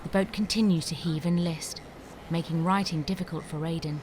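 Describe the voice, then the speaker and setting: Lowish female narrator over background noise. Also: steady tone, a little fast, darkish tone. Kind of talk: scripted narration of screenplay stage directions.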